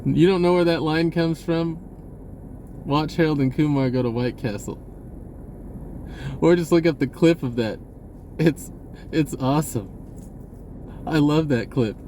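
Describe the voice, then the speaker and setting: A man giving an unscripted personal message in a casual, halting, rambling way with short broken phrases. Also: a little high, mellow, slight background noise.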